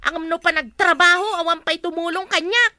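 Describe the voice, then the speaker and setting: A woman's voice: very high-pitched, fairly even intonation, moderate pace, sharp tone, exaggerated voice. An actress playing an overworked young woman who does all the chores and keeps complaining. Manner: complaining, put-upon.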